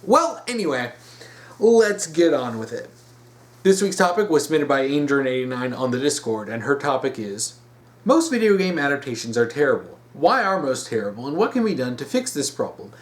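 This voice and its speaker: A man's voice: mid-range voice, big pitch swings, moderate pace. A man giving emphatic opinion commentary as a video host, repeating words for stress.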